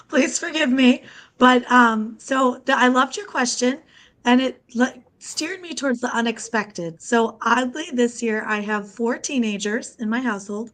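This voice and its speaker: A woman in her forties with a cold, sounding husky, speaking candidly on a video call with some laughter. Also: fairly high pitch, unhurried, a bit bright. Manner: candid, self-deprecating, laughing. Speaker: party guest.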